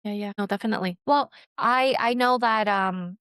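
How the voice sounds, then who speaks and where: A woman's voice: mid-range voice, normal speed, fairly bright tone, clear, resonant tone, no echo. Woman co-host, dry close sound. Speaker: podcast co-host. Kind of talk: casual conversation.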